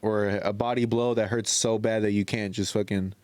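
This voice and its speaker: A man's voice: on the low side, little pitch movement, normal speed, darkish tone, no room sound. A young man on a podcast chatting casually about sports, with slang and plenty of swearing. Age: young adult. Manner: slangy, profane. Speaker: podcast host.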